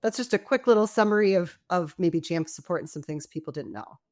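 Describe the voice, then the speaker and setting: Lower-pitched woman explaining as a guest. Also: animated, a little fast, darkish tone. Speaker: support executive, guest. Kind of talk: explaining in a podcast interview.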